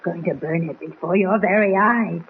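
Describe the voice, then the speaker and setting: A woman's voice: fairly low pitch, brisk, natural voice. An actress playing a half-mad, bitter woman villain in a 1940s radio drama, menacing, mocking and melodramatic. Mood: cold menace. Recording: tinny, noisy 1940s radio recording.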